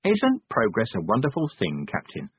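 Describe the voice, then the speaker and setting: A man voicing a weary, gruff middle-aged police commander with a sardonic edge. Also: mid-range voice, lively intonation, a little fast, no room sound, phone-quality sound.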